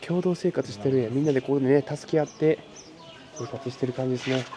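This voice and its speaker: A man's voice: mid-range voice, very fast, warm tone, slight background noise. A man from Japan, narrating a handheld travel vlog and chatting upbeat with people in simple Spanish and English. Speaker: travel vlogger. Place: outdoors at a migrant shelter by railway tracks.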